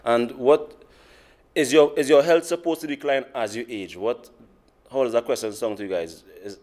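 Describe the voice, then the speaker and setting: A man's voice: mid-range voice, normal speed, darkish tone. A man from Trinidad and Tobago, a PhD student who cheerfully asks the audience questions. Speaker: PhD student and lab assistant director. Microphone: video call.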